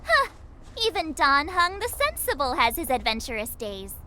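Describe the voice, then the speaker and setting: A woman's voice: high voice, huge pitch swings, normal speed, bright, forceful tone, slight background noise, full character voice. A woman voicing a perky, cheeky young adventurer girl in a video game, with an exclamatory, teasing manner. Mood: casual, teasing.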